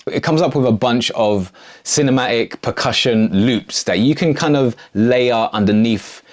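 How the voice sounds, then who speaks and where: A man's voice: medium pitch, a little slow. A man presenting a casual music production tutorial in an enthusiastic, slangy way. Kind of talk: casual video tutorial narration.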